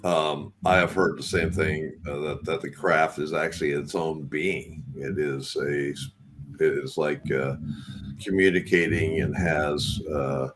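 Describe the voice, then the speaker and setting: A man hosting a radio panel, putting questions conversationally in halting, circling phrases. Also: extremely low pitch, fairly even intonation, a bit bright, slight background noise. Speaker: radio show host.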